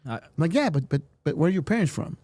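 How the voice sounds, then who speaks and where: A man talks in a casual podcast conversation, with a somewhat high, very animated voice. He rambles and restarts his sentences often. The sound is dry and close. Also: fast, no room sound. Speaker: guest in a played podcast clip.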